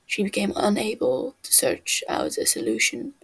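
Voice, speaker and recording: A woman's voice: medium pitch, huge pitch swings, moderate pace, creaky, fairly bright tone, slightly rough, rough recording. A woman reading a scripted fantasy tale as a podfic narrator.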